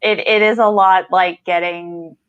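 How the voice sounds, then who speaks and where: A woman's voice: fairly low pitch, steady tone, a little slow, smooth, no echo, lo-fi sound. A woman hosting a podcast, interviewing an author in a reflective, affirming, conversational way. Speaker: podcast host.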